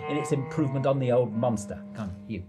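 An actor playing a boastful, eccentric old inventor who rambles and brags in a radio drama. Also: male voice, mid-range voice, fast talker, over music, close to an everyday voice. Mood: theatrical, bossy. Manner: animated, bragging, rambling.

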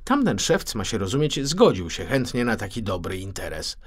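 Animated male children's storyteller. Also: on the low side, moderate pace. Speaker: audiobook narrator. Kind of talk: expressive children's story narration.

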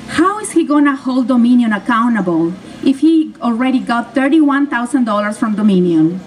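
A woman's voice: a little high, background noise. Peruvian-born woman speaking at an outdoor rally. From Peru. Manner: earnest, emphatic speech. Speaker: political candidate. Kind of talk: rally speech. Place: outdoor stage in a park. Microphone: podium mic recorded from a distant camera. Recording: distant outdoor PA sound.

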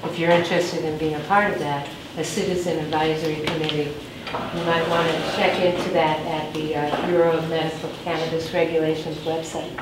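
A woman in the audience adding informed, measured remarks during a panel discussion. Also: sits low, little pitch movement, distant mic. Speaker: audience member, activist. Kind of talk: speaking up from the audience. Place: event room, indoors. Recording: echoey room, off-mic, background noise.

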